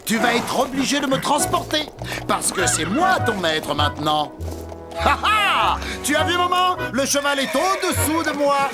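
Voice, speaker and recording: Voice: high-pitched, expressive, measured pace. An actor voicing a vain, boastful little male scarab beetle in a cartoon, with pompous, exclamatory delivery. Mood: gloating. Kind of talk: cartoon character acting. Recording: music behind the voice.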